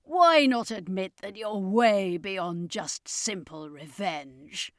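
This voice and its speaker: Woman playing a slow, taunting male circus master. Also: medium pitch, fairly bright tone, no room sound, clean studio sound. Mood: mocking. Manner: slow, drawn-out, mocking, emphatic. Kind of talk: audiobook character voice.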